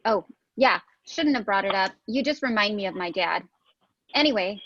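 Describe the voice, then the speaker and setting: A woman's voice: mid-range voice, lively intonation, normal speed, a bit bright, no room sound. A woman playing a defensive, mocking young sitcom woman in a script read with dull lo-fi audio. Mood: snippy, defensive.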